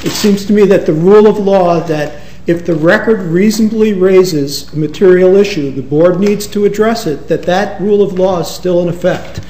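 High-voiced man in his fifties arguing. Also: warm tone. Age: 50s. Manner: halting, many pauses and fillers. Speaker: attorney arguing rebuttal. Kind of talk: courtroom oral argument. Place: courtroom. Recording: echoey courtroom with faint hiss.

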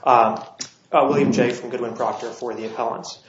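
A man's voice: medium pitch, fairly even intonation. Man, slightly scratchy voice, courtroom argument, many "uh" fillers, dull roomy recording. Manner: measured, frequent "uh" fillers and restarts. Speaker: appellate attorney. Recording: dull, roomy courtroom audio with faint hiss.

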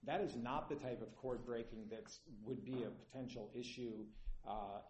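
A man arguing an appeal as attorney in court, earnest and halting with many fillers, sounding tired. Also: mid-range voice, darkish tone, slight background noise. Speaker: appellant's attorney. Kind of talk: courtroom oral argument. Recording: echoey courtroom.

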